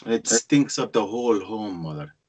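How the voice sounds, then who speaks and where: A man acting a shy, awkward, dutiful son in a screenplay read, with a husky voice and halting delivery. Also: lowish voice, lively intonation, darkish tone, poor audio. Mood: dutiful, dry. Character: Constantine, shy, awkward, dutiful young son and budding actor. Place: indoors on a group video call. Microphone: video call.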